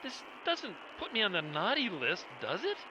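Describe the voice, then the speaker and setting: A man's voice: high voice, huge pitch swings, normal speed, over music, poor audio. A man playing a sly, smug villainous travel agent in an audio drama, casually menacing. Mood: smug menace. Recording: clear background noise.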